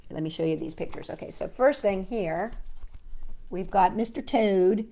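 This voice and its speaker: A woman's voice: mid-range voice, moderate pace, warm tone. A woman hosting a children's storytime, reading a picture book aloud with playful, chatty asides to young viewers.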